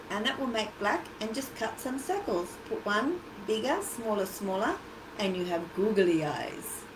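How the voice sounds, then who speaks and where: An Australian-accented woman hosting a casual children's craft livestream, chatty and encouraging as she talks directly to the kids. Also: a little high. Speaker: children's storytime and craft host. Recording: echoey, noisy livestream from a distance.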